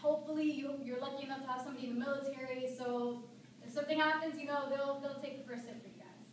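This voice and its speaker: A very echoey, noisy recording of a young woman speaking to a group. Her voice is high, and she delivers an informal, humorous speech in casual, everyday language, like a student presenting. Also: fairly even intonation, fast talker. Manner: conversational, colloquial, casually addressing listeners. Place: echoey room, recorded from a distance. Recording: echoey room, distant mic, noisy background.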